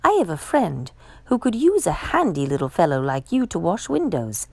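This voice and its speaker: A woman's voice: lowish voice, big pitch swings, normal speed, creaky, darkish tone, no echo, heavily acted. A woman reading the part of a kindly, encouraging male cook in a children's storybook.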